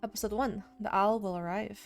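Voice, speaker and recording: Female let's-player, creaky voice, a touch under the weather, casual commentary and read-aloud narration over game music. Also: mid-range voice, fairly even intonation, a little fast. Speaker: streamer playing a horror visual novel.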